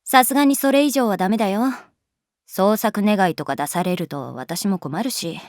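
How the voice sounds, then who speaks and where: A woman's voice: mid-range voice, normal speed, a little mellow, no room sound, clean studio sound, close to an everyday voice. A woman playing a laid-back adult schoolteacher in a Japanese voice drama, talking in a casual, nonchalant, teasing way. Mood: firm, indulgent. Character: wry, laid-back adult female schoolteacher, quietly indulgent. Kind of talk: Japanese voice drama acting, natural dialogue.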